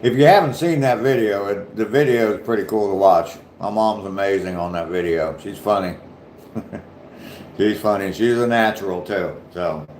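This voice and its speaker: A deep-voiced man with a light southern Ohio accent talks to his livestream viewers in a loose, easygoing way. He speaks slowly and lets his sentences trail off softly. The echo of the room and a faint hum sit behind him. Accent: southern Ohio, slight Appalachian touch. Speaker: livestream host. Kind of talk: casual livestream chat reading comments.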